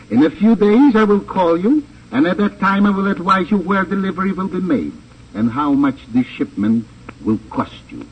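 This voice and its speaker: An actor playing a smug, suavely menacing crime boss with a pompous, precise delivery in a 1950s radio drama. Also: male voice, high voice, animated, normal speed, breathy edge. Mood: smooth, precise. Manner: pompous, over-precise, relishing his words. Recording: dull, noisy old radio transcription.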